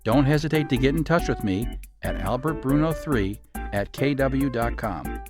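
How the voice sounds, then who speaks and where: Guy podcast host doing a scripted promo and intro, medium pitch, music clearly under him. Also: sing-song, normal speed.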